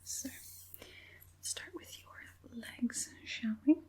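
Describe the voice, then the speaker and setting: A woman playing an evil witch puppet master in an ASMR roleplay, whispering softly in a slow, soothing and quietly persuasive way. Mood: calm, methodical. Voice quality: breathy whisper.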